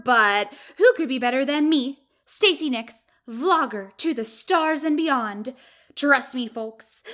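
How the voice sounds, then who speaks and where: Young female vlogger, audio drama. Slow, bright, bubbly, self-important. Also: high voice, full character voice. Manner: gushing, theatrical, self-important. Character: bubbly, self-promoting young woman video blogger. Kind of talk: acted vlog intro in audio drama.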